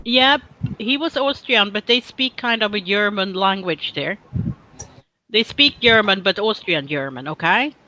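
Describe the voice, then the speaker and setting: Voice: medium pitch, lively intonation, a little slow, bright, forceful tone, low-quality recording. A person from Sweden with a Swedish accent, chatting casually and rambling, often correcting themselves mid-sentence on a live stream. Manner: rambling, self-correcting, playful teasing. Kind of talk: casual chat.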